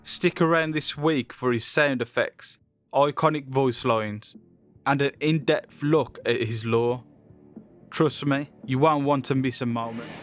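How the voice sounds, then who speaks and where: A man's voice: mid-range voice, measured pace, faint music behind. A man presenting a game character showcase in a scripted promotional narration style.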